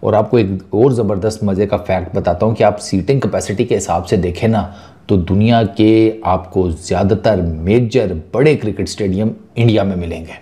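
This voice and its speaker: A man's voice: fairly low pitch, moderate pace, mellow. A man, hosting a chatty sports news video on a lapel mic, joking and mixing in English words. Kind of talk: casual talking-head sports commentary. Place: small furnished studio set indoors.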